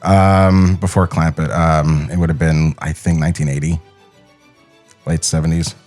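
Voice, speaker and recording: A man's voice: extremely low pitch, little pitch movement, normal speed, a little mellow, soft background music. A man co-hosting a podcast as an opinionated, rambling cartoon critic, chatting casually and swearing freely. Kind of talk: casual, profane podcast banter. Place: podcast studio. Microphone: podcast studio microphone.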